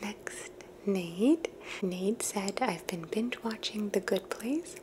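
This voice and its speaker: ASMR host, woman, gentle unvoiced whisper, reflective, close quiet delivery over a faint steady hiss. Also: medium pitch, slow pace. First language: English. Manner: soft unvoiced whisper, musing and thoughtful.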